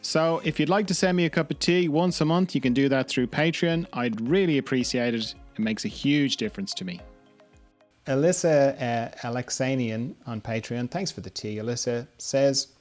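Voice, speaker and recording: A man with a mid-range voice hosts a casual interview podcast. He speaks informally, feeling his way through his sentences in a tentative, halting manner. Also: mid-range voice. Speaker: podcast host.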